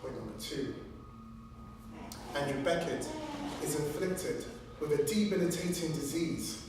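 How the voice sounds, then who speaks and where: A man playing an earnest trial lawyer in a theatrical courtroom monologue, measured and emphatic, with dramatic pauses. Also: fairly high pitch, a little mellow. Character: earnest, deliberate trial lawyer addressing a jury. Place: large echoing performance room, distant microphone. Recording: echoey hall from far off, faint noise.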